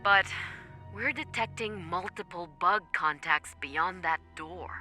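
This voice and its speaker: An actress playing a cool, businesslike military command officer in a video game, briefing in a clipped, formal way. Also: female voice, lowish voice, slow, sharp tone, soft background music, heavily acted. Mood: alert, businesslike.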